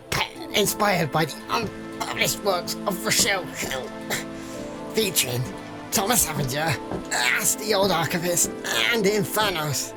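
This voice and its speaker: A woman announcer reads credits for an audio drama over loud music. Her voice is on the low side with lively intonation, and she goes slowly and a little haltingly. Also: gravelly. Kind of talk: scripted credits reading.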